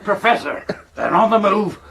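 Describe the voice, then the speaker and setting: A man's voice: high voice, normal speed, close to an everyday voice. An actor playing a blunt, obedient and menacing henchman in a 1970s British radio drama. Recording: noisy old radio drama recording.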